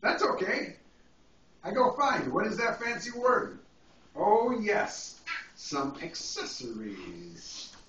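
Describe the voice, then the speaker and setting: A man reads a children's storybook in an unhurried, playful way, with a lively swing in pitch. He sounds away from the microphone in an echoey room. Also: recorded from a distance. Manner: playful, drawing out words for a small child. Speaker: priest reading a picture book to a child. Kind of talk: animated storybook reading with playful asides. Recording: echoey, noisy, muffled home video from afar.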